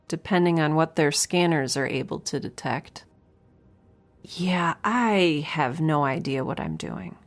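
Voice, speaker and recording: An actress playing a young researcher alone on a spaceship, chatting into her log in a wry, rambling, nervous and self-deprecating way. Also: sits low. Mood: rueful, joking. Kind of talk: audio drama, spoken log in a casual chatty manner.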